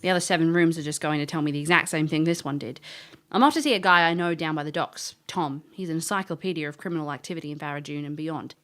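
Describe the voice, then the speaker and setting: A woman's voice: deep voice, fast talker, fairly bright tone. An actress playing a cocky, blunt female elf detective in an audio drama. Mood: matter-of-fact. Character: brash, wry female elf detective, ex-military. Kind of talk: audio drama dialogue and first-person narration.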